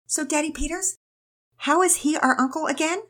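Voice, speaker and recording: A woman's voice: high voice, normal speed, fairly bright tone, pitched above the performer's own voice. A woman voicing an eager, curious young girl with an earnest, questioning manner in a children's story.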